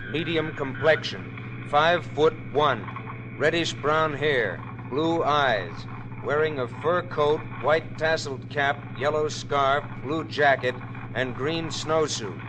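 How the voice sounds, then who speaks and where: Matter-of-fact police dispatcher. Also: male voice, fairly high pitch, noisy background. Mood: official bulletin. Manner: matter-of-fact, unhurried reassurance, official. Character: calm, procedural police dispatcher. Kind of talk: 1950s radio drama acting.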